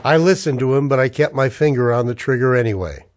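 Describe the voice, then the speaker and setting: A man's voice: mid-range voice, fast, lightly acted. An actor playing a tense, defiant man narrating a noir sci-fi ordeal in old radio drama style, hard-boiled and urgent. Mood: grim, reflective. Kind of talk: 1940s radio drama acting with first-person narration.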